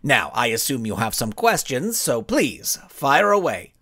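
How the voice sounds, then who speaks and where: A man's voice: mid-range voice, very animated, measured pace, bright, forceful tone, dry recording, studio quality, exaggerated voice. A man voicing a cocky, grandstanding male supervillain in a storybook reading, giving smug, showy speeches.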